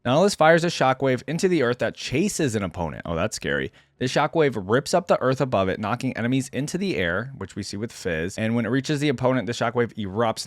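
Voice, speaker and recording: A man's voice: mid-range voice, no echo, clean studio sound. A man giving casual reaction commentary as a video host, thinking aloud informally with musing questions.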